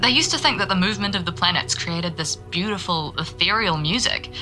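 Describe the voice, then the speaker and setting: A woman's voice: on the low side, expressive, fairly quick, sharp tone, soft background music. An actress playing a young, nervous and eager woman reporter in naturalistic audio drama dialogue. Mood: curious, playful. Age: young adult. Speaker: young arts radio reporter and local guide.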